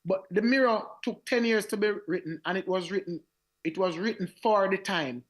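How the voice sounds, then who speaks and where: A man with a Jamaican accent and a raspy voice, telling stories as a singer in a radio interview, animated and often repeating his words. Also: high-pitched, moderate pace. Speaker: reggae singer, guest.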